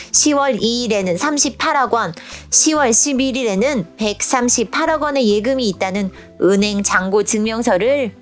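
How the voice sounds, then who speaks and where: A woman plays a patient young princess in a cartoon explainer. Her voice sits on the high side as she tells things step by step in a casual storytelling way. Faint music runs behind her. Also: close to an everyday voice. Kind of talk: scripted animated explainer in casual speech.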